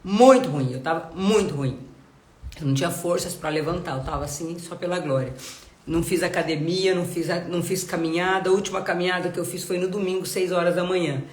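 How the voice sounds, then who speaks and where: A woman's voice: fairly low pitch, fairly quick, reverberant. A woman giving a live devotional Bible talk, nasal and congested from a cold, earnest and full of rhetorical questions. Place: home.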